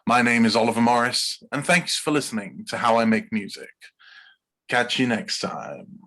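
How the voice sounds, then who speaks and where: Bright-voiced English man reading podcast script. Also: mid-range voice, normal speed. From Bedfordshire, England. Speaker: podcast guest, composer and podcaster. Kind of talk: scripted podcast narration, read in takes.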